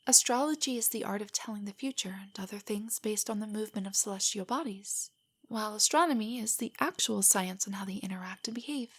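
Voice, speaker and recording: A woman playing a nervous, nerdy, affectionate young girlfriend in an intimate audio roleplay, speaking softly and breathily in a hesitant, earnest way. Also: medium pitch, brisk. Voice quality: soft, breathy, whispery. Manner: hesitant, rambling, earnestly explanatory.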